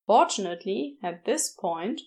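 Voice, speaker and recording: A woman reading fiction as an audiobook narrator, expressive, with wry, deliberate emphasis. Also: mid-range voice.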